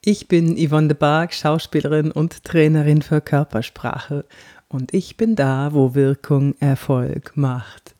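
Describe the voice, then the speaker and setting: A woman from Franconia with a light Bavarian lilt, an actress and body-language coach giving a chatty podcast lesson on clear speech and slowly guiding mouth exercises. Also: low-pitched, fairly even intonation, warm tone. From Franconia, Germany. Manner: guides exercises slowly and deliberately; chatty and personal.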